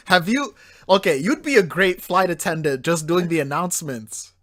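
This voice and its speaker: A man's voice: sits high, normal speed, a bit bright. Easygoing male podcast host. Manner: easygoing, brief replies, chuckles. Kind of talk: casual podcast interview.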